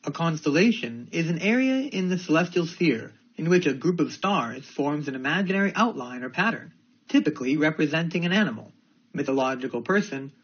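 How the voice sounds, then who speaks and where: A man reading academic passages aloud in a careful, deliberate way. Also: a little high, lively intonation, slightly rough. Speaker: reader of English test practice passages. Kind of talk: read-aloud of academic texts. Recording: dull lo-fi audio.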